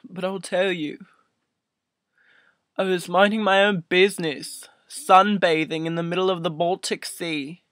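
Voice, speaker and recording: Slow-talking, melodramatic young man. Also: sits high, fairly even intonation, no echo, clean studio sound. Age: 20s. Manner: melodramatic, mock-emotional, halting, with sudden swells and hushes. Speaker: video blogger. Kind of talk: comic storytelling monologue to camera. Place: small furnished room indoors. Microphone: built-in webcam mic up close.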